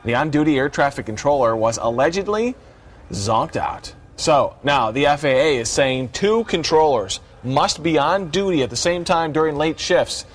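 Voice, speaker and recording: A man's voice: on the high side, animated, moderate pace. A man with an American accent working as a TV news anchor, reading news and chatting casually with co-hosts. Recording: clearly noisy background ambience.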